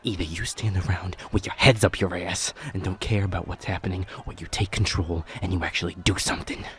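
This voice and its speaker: A man performs a fiercely defiant hothead in an audio drama. The voice is low and loud, full of scorn and sarcasm. Outdoor noise runs behind it. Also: fairly even intonation, brisk, close to an everyday voice. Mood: furious, scornful.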